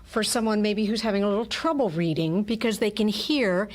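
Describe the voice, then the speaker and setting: A woman's voice: mid-range voice, brisk. A woman with a mid-range voice talks casually as a guest in a radio studio. She explains things in a chatty, conversational way, as someone representing a theatre troupe. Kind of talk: casual interview talk.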